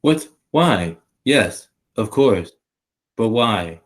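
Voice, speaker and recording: A man playing a gentle, bashful middle-aged salesman in a 1940s-style radio play, with a hesitant, measured delivery. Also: fairly low pitch, lively intonation, full character voice. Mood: subdued, considerate.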